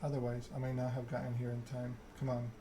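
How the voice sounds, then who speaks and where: Man playing suave male vampire enforcer for a story game: calm threat, bored monotone, noisy ambient backdrop. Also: medium pitch, fast, natural voice. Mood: calm menace. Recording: clear background ambience noise.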